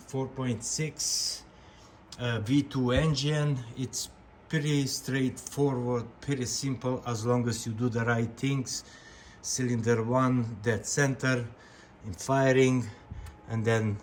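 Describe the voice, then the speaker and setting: A man hosting a casual hands-on vlog, explaining things step by step and talking directly to his viewers. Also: mid-range voice. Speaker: vlog host. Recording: clear background noise, vehicle and ambience.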